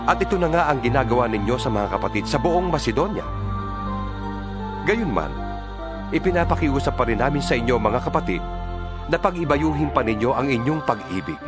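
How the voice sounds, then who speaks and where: A man's voice: mid-range voice, animated, a little fast, long pauses, over loud music, natural voice. A man playing an earnest, fatherly apostle exhorting his flock in a dramatized Bible reading. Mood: solemn, exhorting.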